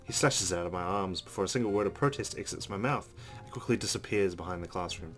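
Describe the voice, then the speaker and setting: A man's voice: low-pitched, quick pace, a bit bright. A man hosting a let's play, reading visual novel narration aloud. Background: game music.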